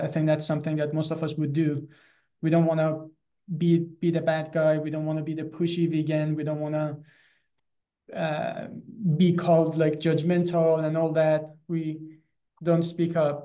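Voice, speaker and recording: A man in his thirties with an Iranian accent, giving an earnest, halting personal account as an interview guest on a video call. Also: a little high, mellow. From Iran. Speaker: animal rights activist and guest. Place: small classroom in front of a chalkboard. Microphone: video call, close to computer.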